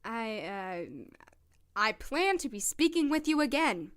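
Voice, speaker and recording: A woman playing a polite, composed young doctor in an audio drama, earnest and measured in manner. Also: on the high side, sing-song, smooth. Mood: disapproving, guarded. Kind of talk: scripted audio drama acting.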